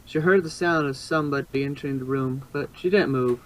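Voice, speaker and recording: A man's voice: mid-range voice, a little fast, a bit bright, poor audio. Man reading a story, stumbling occasionally. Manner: stumbles and re-reads phrases. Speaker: fan fiction narrator. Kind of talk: amateur storybook narration.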